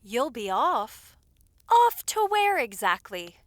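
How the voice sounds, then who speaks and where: A woman playing a caring, loyal lady's maid in an audio roleplay, attentive and gently scolding with polite familiarity. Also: fairly high pitch, sing-song, takes it slowly, dry recording, clean studio sound. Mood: playfully scolding.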